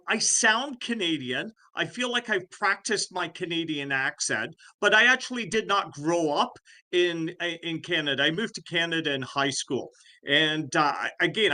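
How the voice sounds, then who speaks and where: A man's voice: fairly high pitch, pushed and bright. A man who coordinates a college business program, speaking easygoingly on a video call. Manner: easygoing, self-deprecating. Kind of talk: casual webinar presentation.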